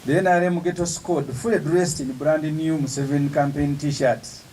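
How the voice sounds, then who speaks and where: A man, an opposition politician giving an emphatic, measured press statement with pauses between phrases. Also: on the high side, steady tone, darkish tone, slight background noise, low-quality recording.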